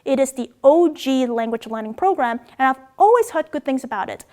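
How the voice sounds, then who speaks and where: A woman from Macau, raised in Australia, narrates a scripted lesson on word origins. Her voice sits high and she delivers it in an upbeat, playful way, with sudden excited jumps in pitch. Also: brisk. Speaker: language video host.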